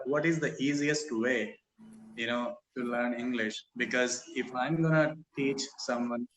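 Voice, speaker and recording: Man, from India, Hindi native speaker, video call, hesitant, lots of "right?", bright voice, faint noise. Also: medium pitch, low-quality recording. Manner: hesitant, many fillers and restarts, tag questions. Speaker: student on a language app. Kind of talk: casual video-call conversation.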